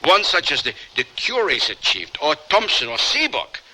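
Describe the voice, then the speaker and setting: An impulsive, proud male scientist, quick to take offence. The voice is high for a man, very sharp and animated, with formal, theatrical phrasing suited to old-time radio drama. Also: full character voice. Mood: intrigued, suspicious.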